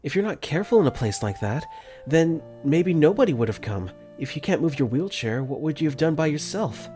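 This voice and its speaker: A young man voicing an earnest, caring dorm caretaker for a fan dub, gently narrating his own thoughts in first person. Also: on the high side, sing-song, fairly quick. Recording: faint music under the voice.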